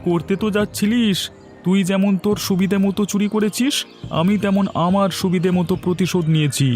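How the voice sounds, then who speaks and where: A man's voice: sits high, steady tone, a little fast, a little mellow, soft background music, lightly acted. A man voicing a taunting, vengeful farm owner guarding his crop in a cartoon. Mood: defiant. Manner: taunting, defiant. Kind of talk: Bengali cartoon comedy acting.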